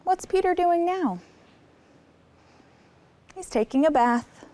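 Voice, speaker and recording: A woman's voice: very high voice, expressive, takes it slowly, warm tone. A woman hosting story time, reading a picture book aloud expressively and putting simple questions to young listeners. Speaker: children's story time host.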